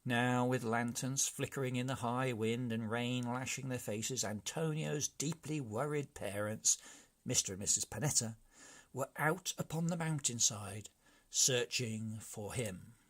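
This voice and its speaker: A man narrating a children's storybook aloud in an expressive, theatrical read-along style. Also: medium pitch, a little slow.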